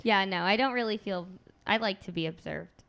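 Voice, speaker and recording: A woman's voice: mid-range voice, gravelly, fairly bright tone. Joking woman co-host in casual talk. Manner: joking, answers with quick quips. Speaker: podcast co-host. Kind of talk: casual chat.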